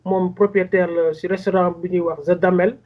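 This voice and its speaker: A man hosting an immigration show, introducing his guest in a formal, halting way. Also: high-pitched, level tone. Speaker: host. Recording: tinny phone-like sound.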